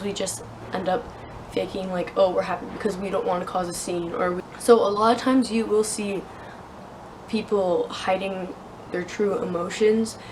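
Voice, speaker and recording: A young woman with a sore throat talks into her phone outside, earnest and halting, full of fillers. Her voice sits at an ordinary middle pitch. Wind and outdoor noise are clearly heard behind her. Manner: hesitant, many fillers, earnest. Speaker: vlogger. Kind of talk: casual talking-head vlog. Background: wind and outdoor ambience.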